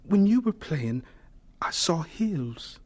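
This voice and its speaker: An earnest young man, humble and eager to please. His voice is fairly high and husky, with lively pitch, in polite radio drama delivery. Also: takes it slowly, heavily acted. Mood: wistful. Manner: polite, deferential.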